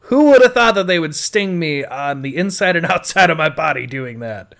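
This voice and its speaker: A man's voice: a little high, expressive, moderate pace, vocal fry, a bit bright. An American man telling his life story casually as a podcast host, rambling and swearing freely. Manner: rambling, foul-mouthed.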